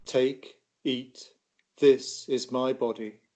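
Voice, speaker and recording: Grave, sorrowful male teacher read by a man, mid-pitched, measured and solemn, for a dramatised gospel. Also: level tone, a little mellow. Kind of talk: dramatised scripture reading.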